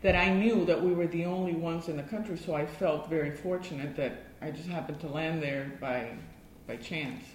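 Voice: medium pitch, normal speed, few pauses. Reflective speaker recalling school days, Spanish native. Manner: reflective, thoughtful recollection with small restarts. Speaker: interviewee. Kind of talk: oral history interview. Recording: echoey room.

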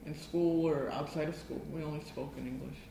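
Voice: mid-range voice, steady tone, brisk, vocal fry, warm tone, slight background noise. Reflective speaker recalling school days, Spanish native. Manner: reflective, thoughtful recollection with small restarts. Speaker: interviewee. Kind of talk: oral history interview. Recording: echoey room.

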